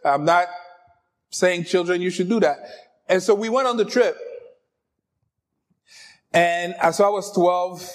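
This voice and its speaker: A middle-aged man whose first language is French, preaching a lively, joking sermon and talking straight to the congregation. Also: high-pitched, a little slow, long pauses. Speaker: pastor.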